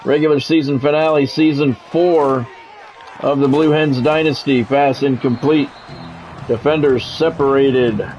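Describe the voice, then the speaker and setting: A man's voice: medium pitch, steady tone, a little slow, darkish tone, a little hiss or hum, low-quality recording. A middle-aged man calling a football video game like a radio play-by-play announcer, in clipped phrases with informal asides. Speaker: gamer and play-by-play commentator.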